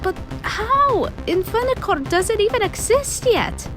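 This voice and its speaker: An actress playing an alarmed, inquisitive adventurer's companion in an audio drama, exclamatory in delivery. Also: female voice, very high-pitched, animated, a little slow, music in the background. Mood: incredulous.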